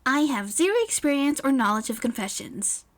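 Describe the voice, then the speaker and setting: A woman's voice: high voice, expressive, moderate pace, voice pushed up. A woman voicing an eager, blunt teenage girl inventor with an earnest, matter-of-fact manner. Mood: earnest, puzzled.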